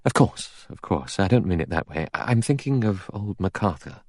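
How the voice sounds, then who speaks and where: A man reads the part of a doctor in an audiobook, deep-voiced and worried. He is hesitant and doubtful, with a well-bred, offhand turn. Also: sing-song, moderate pace, a little mellow, no room sound, clean studio sound.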